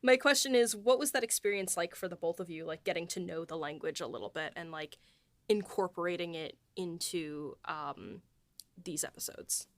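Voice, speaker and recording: A woman's voice: fairly low pitch, normal speed, no echo. A woman hosting a casual chat who laughs easily and puts loose, rambling questions to the writers. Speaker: host asking the writers questions.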